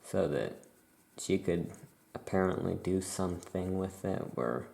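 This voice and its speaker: A soft-spoken ASMR host playing a witch shopkeeper, casually improvising and thinking aloud in an unhurried, rambling way. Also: low-pitched, vocal fry.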